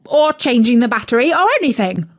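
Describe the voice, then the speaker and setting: A woman's voice: a little high, animated, fairly quick, pitched above the performer's own voice. A woman voicing an impatient, hungry male turkey who complains indignantly.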